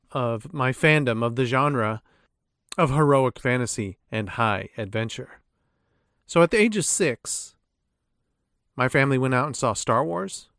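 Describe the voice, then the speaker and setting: A man's voice: medium pitch, creaky, fairly bright tone, dry recording, studio quality. A middle-aged man hosting a podcast, narrating a scripted personal introduction with theatrical storyteller phrasing. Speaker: podcast host.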